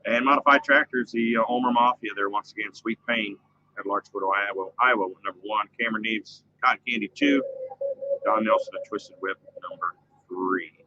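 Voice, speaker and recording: A man's voice: on the low side, brisk, background noise. A man co-hosting a casual live show outdoors at a fair, reading out the top finishers class by class. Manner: lively, reads out lists of results. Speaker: co-host recapping pulling results. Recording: slightly dull live stream sound with faint fair ambience.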